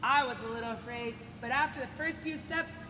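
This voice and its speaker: A woman's voice: a little high, a little fast, lightly acted. A woman voicing a kindly, reassuring reindeer father in a children's storybook. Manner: reassuring, proud. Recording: echoey noisy room.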